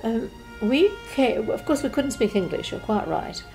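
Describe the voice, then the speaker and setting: Elderly woman, mellow unhurried recollection. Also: a little high, expressive, gravelly, faint music behind. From Czechoslovakia. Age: 80s. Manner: gentle, unhurried, with hesitations and restarts. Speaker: interviewee. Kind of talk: recounting childhood memories. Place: living room, seated in armchair.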